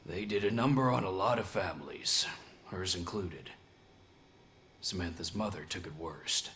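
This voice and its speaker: An actor playing a weary, sympathetic working man in a video game, with a gravelly voice. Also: deep voice, moderate pace, a bit bright, a little hiss or hum. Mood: grateful, weary.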